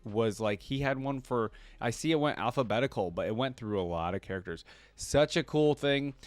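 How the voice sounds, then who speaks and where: A man's voice: mid-range voice, moderate pace, no room sound. A man hosting a reaction video, giving casual, conversational commentary that rambles with plenty of filler words.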